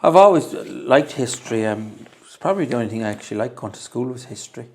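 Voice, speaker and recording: A man's voice: mid-range voice, lively intonation, quick pace. An Irish man, a guest, talking earnestly and emphatically in a casual chat. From Ireland. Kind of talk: casual conversation.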